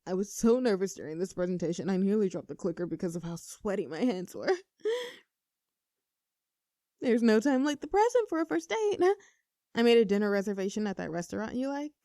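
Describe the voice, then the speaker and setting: Casting: actress playing nervous, teasing young best friend in love, earnest and conversational, intimate audio roleplay, very dry close sound. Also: female voice, medium pitch, sing-song, a little fast, dark tone, no room sound. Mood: elated, then happy, teasing. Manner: playful, teasing, earnest, slightly flustered.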